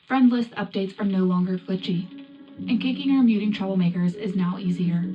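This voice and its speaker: A woman playing a tech reporter on a galactic wartime radio show, reading a scripted update in a brisk, rallying way. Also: mid-range voice, muffled recording, lightly acted. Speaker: radio news presenter.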